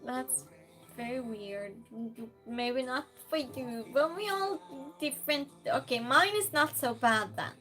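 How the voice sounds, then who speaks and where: Slow-talking Norwegian woman streamer, high voice. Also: animated, pushed and bright, soft background music. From Norway. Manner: chatty, reads out chat messages and asks would-you-rather questions. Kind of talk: casual chat reading viewer comments.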